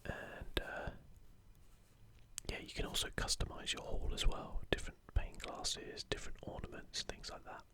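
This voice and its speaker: A man hosting an ASMR video in a breathy, unvoiced whisper close to the microphone, with hesitant, informal commentary. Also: moderate pace.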